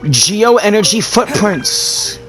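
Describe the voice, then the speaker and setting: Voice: mid-range voice, animated, slow pace, fairly bright tone, soft background music. Casual gamer with a mid-range voice. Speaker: gamer playing and reading dialogue aloud. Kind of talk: casual gameplay commentary.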